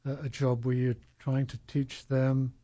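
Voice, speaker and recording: A man's voice: medium pitch, monotone, a little slow, mellow. A man interviewing a writer, asking encouraging questions that often end in tag questions.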